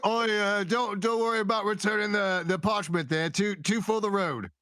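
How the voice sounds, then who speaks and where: A man's voice: high voice, fairly even intonation, moderate pace, crowd noise, voice pushed up, lightly acted. A man voicing a chummy, evasive bartender in a tabletop game, stammering and hedging deferentially. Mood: friendly. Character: chummy, evasive male bartender serving regular patrons.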